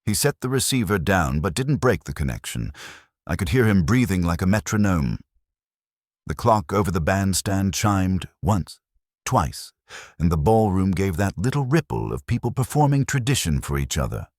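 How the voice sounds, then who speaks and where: A man reading a first-person detective story as an audiobook narrator, in a measured hardboiled style. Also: deep voice, sing-song, no room sound, clean studio sound.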